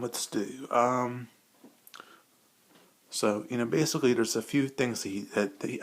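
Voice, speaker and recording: A man rambling casually and enthusiastically, with a hesitant, repetitive way of talking, like a hobby vlogger. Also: medium pitch.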